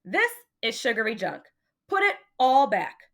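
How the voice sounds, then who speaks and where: A woman's voice: on the high side, very animated, measured pace, fairly bright tone, exaggerated voice. A woman voicing an exasperated father in a children's storybook, stern, emphatic and scolding. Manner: stern, emphatic, easily startled.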